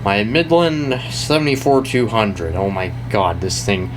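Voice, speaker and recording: A young American man giving a casual, off-the-cuff show-and-tell, talking in a halting, rambling way and often correcting himself. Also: fairly low pitch. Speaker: hobbyist video creator. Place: indoors in a house. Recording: noisy room with air-conditioner hum.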